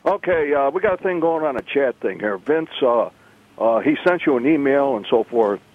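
A man from Rochester, New York, phones in to a radio show. He speaks haltingly, with frequent pauses and fillers, at an ordinary pitch. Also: lively intonation, darkish tone, no room sound, poor audio. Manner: hesitant, many fillers. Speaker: caller.

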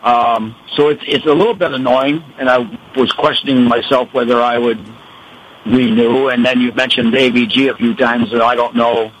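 A man from Rochester, New York, calling in to a radio show; he has a gravelly voice and talks haltingly with many restarts. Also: medium pitch, steady tone, a little hiss or hum, low-quality recording. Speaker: caller. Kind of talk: radio call-in.

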